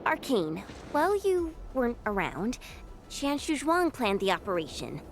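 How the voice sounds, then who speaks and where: A woman's voice: a little high, big pitch swings, unhurried, noisy background. A woman voicing an anxious, caring young female subordinate in a video game, urgent and earnest. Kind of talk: scripted video game dialogue.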